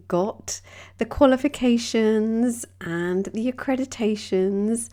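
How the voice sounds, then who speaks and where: A woman hosting a wellbeing podcast, speaking gently with a slightly croaky voice. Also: mid-range voice. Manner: soft, gentle, unhurried delivery with drawn-out phrases. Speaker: yoga and wellbeing podcast host. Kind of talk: reflective wellbeing talk.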